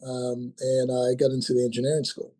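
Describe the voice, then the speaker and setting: Husky mellow man, about sixty. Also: mid-range voice, monotone, brisk, low-quality recording. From Nigeria. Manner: hesitant, many ums and restarts. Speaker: guest, company founder. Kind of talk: casual interview talk.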